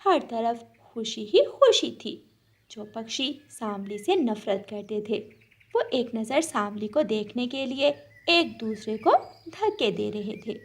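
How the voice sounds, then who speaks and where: A woman's voice: fairly high pitch, lively intonation, slow pace. A woman narrating a scripted children's story.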